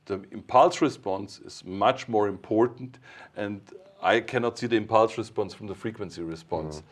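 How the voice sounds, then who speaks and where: A man giving an informal technical explanation as an expert, in halting, piecemeal phrases. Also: on the low side.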